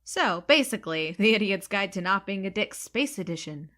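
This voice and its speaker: A woman's voice: medium pitch, lively intonation, normal speed, bright, forceful tone. A woman playing a sarcastic, irreverent young recruit in a sci-fi comedy audio drama, casual and flippant. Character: snarky, irreverent young woman, reluctant space recruit and narrator. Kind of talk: comedy sci-fi audio drama, first-person narration and dialogue.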